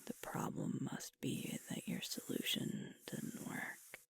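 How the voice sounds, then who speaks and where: A woman playing a sweet, soothing werewolf girlfriend in an ASMR sleep-aid roleplay, whispering gently and reassuringly. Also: takes it slowly, lightly acted. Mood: tender, reassuring. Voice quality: breathy whisper.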